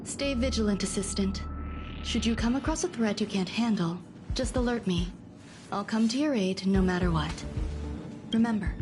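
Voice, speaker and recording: An actress voicing a calm, courteous woman scientist in a video game, speaking in a measured, formal, understated way. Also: mid-range voice, natural voice. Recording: music behind the voice. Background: game music.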